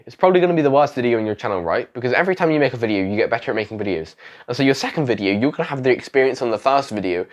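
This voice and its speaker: A young man with a sick, failing voice giving rambling, self-correcting advice on his vlog. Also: medium pitch. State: sick, voice going. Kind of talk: casual talking-head advice vlog.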